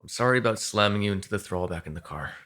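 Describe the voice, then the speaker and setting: A lowish-voiced male vampire, acted by a man for an intimate audio roleplay. He is protective and sardonic, talking in halting, foul-mouthed bursts broken by sighs. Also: sharp tone, a little hiss or hum. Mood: anxious, explaining. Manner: intimate, halting, swearing, sighing. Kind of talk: ASMR audio roleplay acting.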